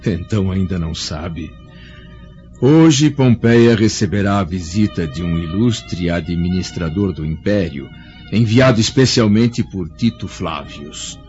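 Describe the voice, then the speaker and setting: A man's voice: deep voice, lively intonation, unhurried, a little mellow. An actor playing a proud, affectionate elderly senator and father in a radio drama, stately and theatrical. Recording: dull lo-fi audio, faint music behind.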